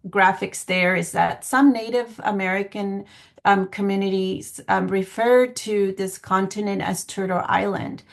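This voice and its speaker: Woman from Guatemala, mid-range voice, presenting online; earnest and measured, slightly hesitant in phrasing. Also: mid-range voice. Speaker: public health planning coordinator giving a presentation. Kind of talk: semi-formal online presentation. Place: video call. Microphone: video call microphone.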